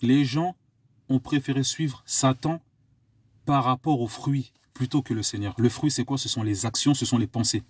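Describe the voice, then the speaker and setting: A man's voice: mid-range voice, steady tone, normal speed, a little mellow, dry recording. A man from France preaching to his listeners, repeating pointed questions and coughing at times. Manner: exhorting, repeats rhetorical questions. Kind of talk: live online sermon.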